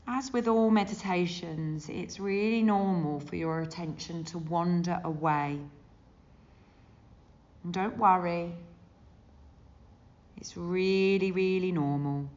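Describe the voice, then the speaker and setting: A woman leading a guided meditation as a teacher, gentle and soothing, with unhurried phrases and long pauses. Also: on the low side. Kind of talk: guided body scan meditation. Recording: dull, muffled lo-fi sound.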